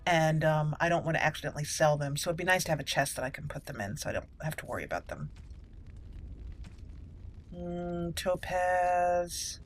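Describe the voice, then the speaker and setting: A woman's voice: deep voice, animated, normal speed, slight background noise. A woman giving casual gameplay commentary, rambling and thinking aloud as she plays. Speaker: gameplay host.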